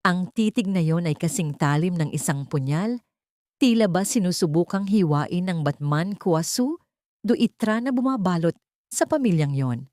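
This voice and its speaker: A Filipino woman reads a dramatic story as an audiobook narrator. Her voice sits a little low for a woman, and the sound is dry, with little room in it. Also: animated, moderate pace, darkish tone, dry recording.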